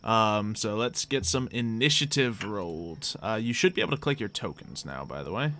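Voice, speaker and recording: A man's voice: fairly low pitch, animated, normal speed, sharp tone. A man working as game master, narrating a tabletop game in a casual, improvised way.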